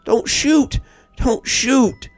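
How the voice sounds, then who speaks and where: A man's voice: very high voice, extremely slow, no echo, faint music behind, voice pushed up, exaggerated voice. A man voicing a wounded young backwoods man who recounts a monster attack in a story. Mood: frantic pleading. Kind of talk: first-person storytelling monologue.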